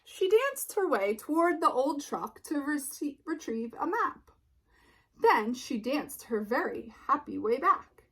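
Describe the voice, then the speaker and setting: A woman reading a children's picture book aloud as narrator, expressively and deliberately, with dramatic pauses. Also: high-pitched, sing-song, fairly bright tone, poor audio. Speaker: storybook reader.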